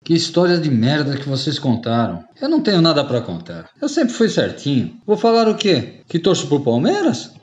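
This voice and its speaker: A man plays a straight-laced, blunt middle-aged Brazilian among old friends. His slangy voice is fairly high and jumps around in pitch. The radio drama recording is roomy and echoey. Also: huge pitch swings, normal speed, hardly any pauses, darkish tone. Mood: dismissive. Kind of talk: radio drama acting, casual bar chat. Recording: echoey, dull muffled room sound.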